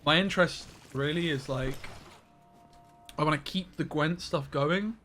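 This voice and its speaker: Young guy streaming a card game from his room, chatty and rambly, slightly high voice, faint music behind. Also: unhurried, bright, forceful tone. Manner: rambling, chatty, greets viewers by name. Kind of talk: casual live-stream chat. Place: his bedroom.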